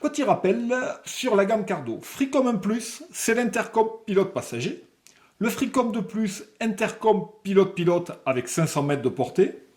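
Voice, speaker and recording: A man presenting a product unboxing, explaining technical details step by step and sometimes halting to find words. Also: high-pitched, sing-song, a little mellow. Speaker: product presenter for a motorcycle accessories shop.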